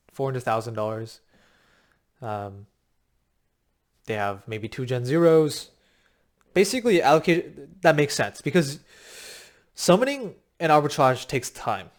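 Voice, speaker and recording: Slightly raspy man, rambling sales pitch. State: short of breath from long talking. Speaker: crypto game coach. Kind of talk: casual talking-head explainer.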